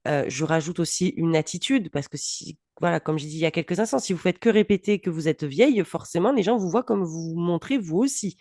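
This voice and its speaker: A woman co-hosting a casual podcast chat as a coach, animated and laughing often, speaking in quick bursts. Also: on the low side, no echo, clean studio sound.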